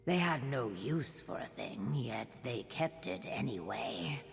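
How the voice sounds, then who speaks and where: An actress voicing a solemn, guarded reptilian guide in a fantasy video game, speaking in measured, terse, raspy phrases. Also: female voice, extremely low pitch, big pitch swings, exaggerated voice. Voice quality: raspy, breathy. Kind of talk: scripted video game acting. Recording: long cavernous reverb on voice.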